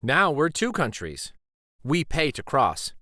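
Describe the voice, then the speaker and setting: A man narrates a picture book for children in a bright, forward voice. His delivery is deliberate and expressive, taking its time over each phrase, and the sound is dry and close. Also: a little high, no echo. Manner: deliberate, animated storytelling delivery. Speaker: children's read-aloud storyteller.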